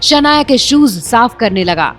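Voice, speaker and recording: A woman's voice: on the high side, expressive, unhurried, fairly bright tone, soft background music. A woman narrating a scripted audio drama in a dramatic storytelling manner.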